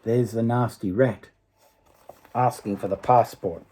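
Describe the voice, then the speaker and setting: A man's voice: mid-range voice, steady tone, unhurried, dark tone, breathy edge. An older man reading a children's story aloud expressively, dramatically, with asides to a young listener. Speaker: storybook reader.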